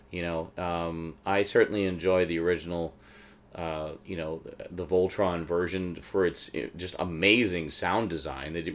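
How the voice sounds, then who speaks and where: A middle-aged man with a low voice talks casually, like a host showing off a collection. He rambles conversationally, often halting to think aloud as he goes.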